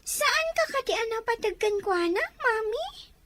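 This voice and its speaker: A woman's voice: very high voice, lively intonation, measured pace, fairly bright tone, full character voice. A woman voicing a small girl who misses her father, asking pleading questions. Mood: curious.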